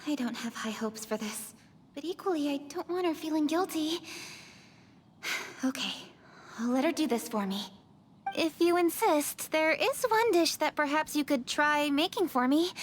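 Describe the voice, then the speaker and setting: High-voiced, proper young lady. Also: lively intonation, moderate pace, slight background noise. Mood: quietly reluctant. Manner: articulate, composed, formal. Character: poised, proper young woman, formal and exacting. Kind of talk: anime-style video game acting.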